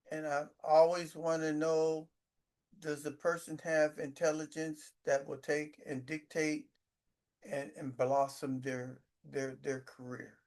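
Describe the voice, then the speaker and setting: A man in his sixties in casual chat, boasting, repeating himself and stumbling over his words. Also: fairly high pitch. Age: 60s.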